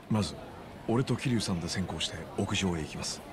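An easygoing polite sidekick. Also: male voice, low voice, brisk, background noise. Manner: relaxed, polite, chatty. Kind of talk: video game cutscene acting.

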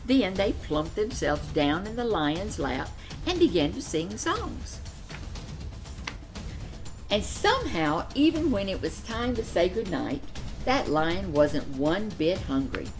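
Older woman reading a story, lively intonation. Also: on the low side. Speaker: storyteller reading a children's picture book. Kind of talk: expressive read-aloud storytelling. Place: furnished living room, seated in an armchair.

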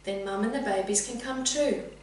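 A woman's voice: medium pitch, moderate pace, close to an everyday voice. Woman reading as a lonely boy, very echoey. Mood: friendly. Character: lonely young boy, eager and quiet-spoken. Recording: very echoey room, distant mic, faint hum.

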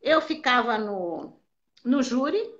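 Older woman with a bright, animated voice. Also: fairly high pitch, slow, highs cut off. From Brazil. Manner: animated storytelling, repeats words for emphasis. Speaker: belly dance teacher, interviewee. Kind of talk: casual interview answers.

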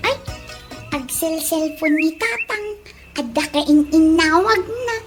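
A woman as cheeky high-pitched young Ilocano gossip. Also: animated, takes it slowly, music in the background, heavily acted. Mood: tattling. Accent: Filipino, Ilocano. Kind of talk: Ilocano comedy radio drama acting.

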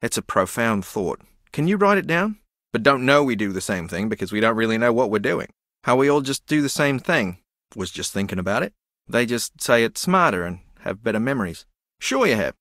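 A man's voice: mid-range voice, big pitch swings, brisk, a bit bright, no room sound, studio quality. An actor playing a dreamy, easily confused young man in a video game, earnest, naive and meandering as he muses. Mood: musing.

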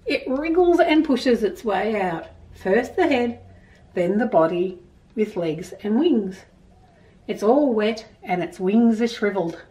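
Storytime presenter: middle-aged Australian woman, unhurried and highly animated, expressive delivery for children, slightly distant room mic. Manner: expressive, emphatic storytelling for young listeners. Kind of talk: picture-book reading and explaining to children. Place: small indoor room at home with bookshelves.

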